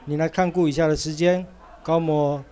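Male service leader, mellow, fervent prayer, relaxed introductions. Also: on the high side, normal speed, clear, resonant tone. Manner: fervent praying, informal introducing.

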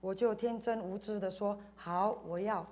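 A woman from Indonesia giving a personal church testimony in short phrases, pausing for an interpreter. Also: mid-range voice, steady tone, a little mellow, a little hiss or hum. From Indonesia, schooled in Singapore. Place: church service, speaking to congregation.

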